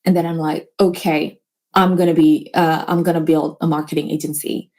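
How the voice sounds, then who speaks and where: Mellow-voiced woman, earnest guest. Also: low voice, level tone, moderate pace. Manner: earnest, motivational, slightly non-native grammar. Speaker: business owner, guest. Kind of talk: casual interview chat.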